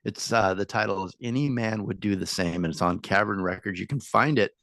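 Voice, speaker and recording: A man's voice: on the low side, fairly quick, a bit bright, no room sound. A man hosting an interview from California, talking in a loose conversational way with many filler words. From United States (California). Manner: conversational, frequent ums and uhs. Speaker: podcast host. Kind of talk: casual interview chat.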